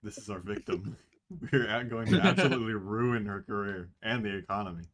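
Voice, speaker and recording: A man's voice: on the low side, animated, brisk, a bit bright. A man reading along in a casual group fanfic reading, joking and chuckling between lines. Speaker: co-reader.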